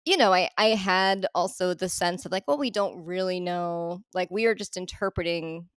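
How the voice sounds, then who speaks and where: A woman's voice: on the low side, normal speed, clean tone, no echo, studio quality. A young woman from Ohio, a podcast guest talking in a reflective, rambling way with many fillers. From Ohio, USA. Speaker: interview guest. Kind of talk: casual interview chat.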